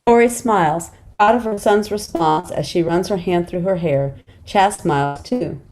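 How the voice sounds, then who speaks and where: A woman's voice: fairly low pitch, normal speed, a little mellow, slight background noise, rough recording. A woman narrating stage directions in a screenplay table read.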